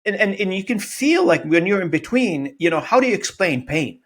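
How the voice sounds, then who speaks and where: A man's voice: high voice, big pitch swings, moderate pace, fairly bright tone. A man whose first language is Arabic, hosting an interview in an earnest, searching way with frequent restarts. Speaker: podcast host. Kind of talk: conversational interview.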